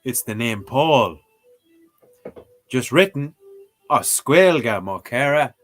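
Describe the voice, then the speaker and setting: Joking guy streaming a language lesson, slow and lively, bit of music behind. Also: mid-range voice. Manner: playful, joking, mock exclamations. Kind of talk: casual live-stream commentary.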